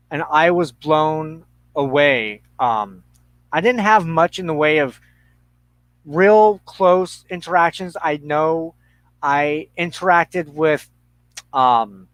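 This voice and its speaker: A middle-aged man in a reflective conversation, speaking haltingly and thoughtfully with pauses mid-sentence. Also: fairly high pitch, a bit bright, dry recording, poor audio.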